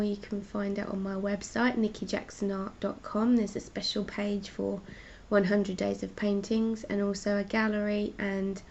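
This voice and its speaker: A woman's voice: mid-range voice, a little mellow, lo-fi sound, slight background noise. A woman artist with a cold and a stuffy voice, chatting casually as she hosts her own live stream and explains her paintings. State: congested with a cold. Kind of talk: casual art chat, showing paintings.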